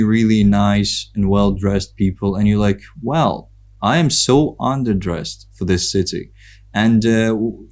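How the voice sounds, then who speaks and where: A man from Ukraine with a Ukrainian accent, talking casually and reflectively as a podcast guest, pausing often as he thinks. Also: on the low side, darkish tone. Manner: hesitant, reflective, with frequent pauses. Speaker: podcast guest, photographer and content creator. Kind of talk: casual conversational interview.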